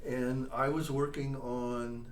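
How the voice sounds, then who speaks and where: A man's voice: medium pitch, little pitch movement, takes it slowly. An older man, a composer, chatting as an interview guest and telling stories, now and then checking in with "all right?". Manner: chatty storyteller, tags points with "all right?" and "you know?". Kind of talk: casual storytelling interview. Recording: echoey room, distant mic, faint noise.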